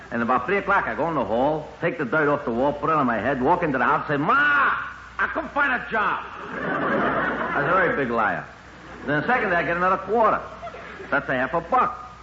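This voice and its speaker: Male Brooklyn-accented comedian, fairly high voice, rambling colloquial storytelling, 1950s radio monologue, echoey sound. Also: animated, a little fast, bright, forceful tone. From Brooklyn, New York, USA. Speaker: stand-up comedian. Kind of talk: 1950s comic monologue to a live audience. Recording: roomy, muffled, noisy old radio recording.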